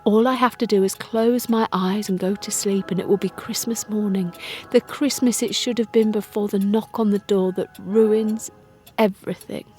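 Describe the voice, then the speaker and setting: A woman's voice: mid-range voice, normal speed. Woman narrating dramatic fiction over music. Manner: expressive, suspenseful. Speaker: audiobook narrator. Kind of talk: dramatic first-person scripted narration.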